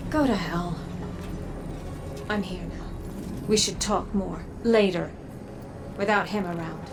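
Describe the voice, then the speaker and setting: Blunt, distrustful commander. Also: female voice, lowish voice, takes it slowly, noisy background. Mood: bitter, guarded. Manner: blunt, stern. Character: hard-edged, distrustful, commanding woman soldier-scientist. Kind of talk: dramatic video game dialogue. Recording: background music under the voice.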